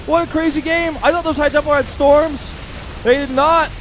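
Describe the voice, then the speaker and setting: High-voiced excitable male esports caster. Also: moderate pace, a little hiss or hum, low-quality recording. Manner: excitable, rises sharply during big fights. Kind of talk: play-by-play commentary. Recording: commentary over loud game music.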